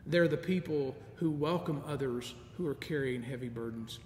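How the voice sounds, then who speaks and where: A middle-aged man preaching a sermon as a deacon, measured and earnest, with soft laughs. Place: large empty church sanctuary. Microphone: stand mic in front of lectern, camera at a distance. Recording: echoey church with long reverb, faint rumble.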